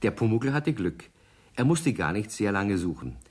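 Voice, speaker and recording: A man's voice: on the low side, big pitch swings, moderate pace, a little mellow, slight background noise. A man narrating a children's radio play as a storyteller, reading from a script. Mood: vivid storytelling. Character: male storyteller of a children's tale.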